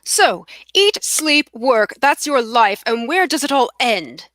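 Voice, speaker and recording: A woman's voice: a little high, big pitch swings, slow pace, a bit bright, dry recording, full character voice. A woman at a table read playing an idealistic, romantic male poet with a theatrical, lyrical delivery. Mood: challenging. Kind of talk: cold table read of a stage play.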